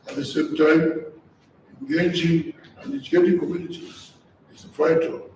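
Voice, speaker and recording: A man's voice: a little high, fairly even intonation, moderate pace, darkish tone. A man with a Zambian accent giving a formal public speech as a government minister, in an echoey, distant recording. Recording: echoey, distant event recording, some noise.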